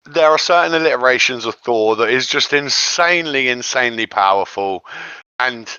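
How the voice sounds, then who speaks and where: A man's voice: medium pitch, pushed and bright, no room sound, lo-fi sound. A man chatting casually as a co-host, giving emphatic, rambling opinions. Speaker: co-host of a geek discussion show. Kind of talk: casual chat about comic-book fiction.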